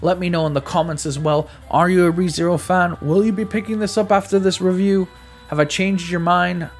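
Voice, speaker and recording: A man's voice: sits high, fairly even intonation, fairly quick, faint music behind. A man giving a casual, conversational video game review, speaking emphatically and often stammering or repeating his words.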